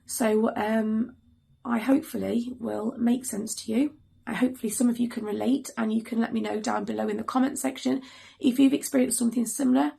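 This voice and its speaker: A hoarse woman in middle age speaks earnestly to her viewers with lots of pauses and ums. Her voice is heavily gravelly and deeply raspy, and it sits a little high for a woman. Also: fairly even intonation, mellow. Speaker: mother and channel host. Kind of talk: earnest serious vlog talk to camera.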